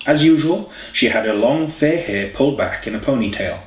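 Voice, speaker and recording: A man's voice: mid-range voice, lively intonation, normal speed. A man reading a scripted story as an audiobook narrator. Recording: echoey room, distant mic, faint hiss.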